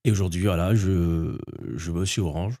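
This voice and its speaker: A man's voice: low-pitched, fairly even intonation, normal speed, mellow, dry recording, studio quality. A young man from French Guiana, a podcast guest talking casually and hesitantly with many fillers. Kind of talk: casual interview chat.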